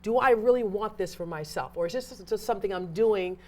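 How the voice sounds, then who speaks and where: A woman's voice: mid-range voice, a little fast. A woman from Trinidad, a reverend and author, talking earnestly and reflectively as an interview guest, often restarting her sentences. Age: adult who arrived as a child over thirty years ago. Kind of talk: casual interview conversation.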